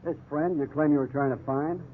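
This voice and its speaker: A man's voice: fairly high pitch, fairly even intonation, a little fast, lightly acted. An actor playing a young, fair-minded junior policeman with a hesitant, mild manner in a 1950s radio drama. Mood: apologetic. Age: young adult. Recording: tinny, noisy old radio recording.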